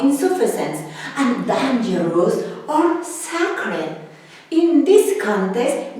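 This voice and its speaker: A woman from Mexico whose first language is Spanish, speaking English with a Mexican Spanish accent, giving a careful educational talk with the odd soft laugh. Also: high voice. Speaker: independent researcher and dancer. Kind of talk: educational video lecture. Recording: very echoey room, distant from mic.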